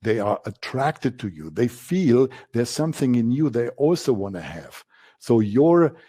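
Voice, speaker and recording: A man's voice: mid-range voice, sing-song, unhurried, mellow, husky. A man from Germany with a German accent, giving a masterclass presentation in an emphatic style, repeating key words and using frequent fillers. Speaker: coaching instructor.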